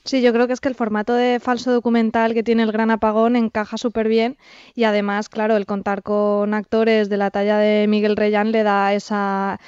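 A woman's voice: mid-range voice, flat delivery, normal speed, a little mellow, clear, resonant tone, no room sound. A woman with a Castilian Spanish accent chatting casually as a panelist in a discussion. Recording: dry, slightly dull sound.

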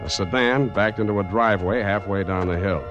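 An actor playing a wry, tough private detective in 1940s radio drama style, with clipped deadpan delivery. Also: male voice, fairly low pitch, fairly quick, dull audio, music in the background, close to an everyday voice. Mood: brisk, wry narration. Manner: wisecracking, deadpan, clipped hard-boiled delivery. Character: wry, tough male private detective narrating his case.

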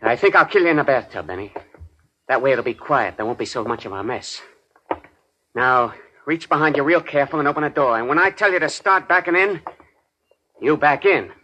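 An actor playing a menacing, coolly sadistic gangster in a 1940s radio drama, taunting and deliberate. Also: male voice, mid-range voice, animated, pushed and bright. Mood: cold menace. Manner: taunting, slow and deliberate threats. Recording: noisy, clicking old radio recording.

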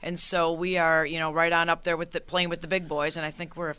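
Brief: female radio guest and organizer, upbeat explainer, bright and crisp voice, dry studio sound. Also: fairly low pitch, level tone. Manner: upbeat, informative, rapid explainer. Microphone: studio microphone.

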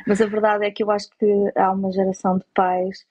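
A woman's voice: medium pitch, little pitch movement, quick pace, darkish tone, lo-fi sound. A woman with a European Portuguese accent giving conversational interview answers, explaining and rephrasing as she goes. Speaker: interviewed parent.

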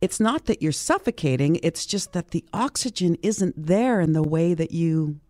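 A woman's voice: fairly low pitch, lively intonation, normal speed, mellow, dry recording. A woman in a casual book discussion, talking as a club participant and acting out reactions as she retells things.

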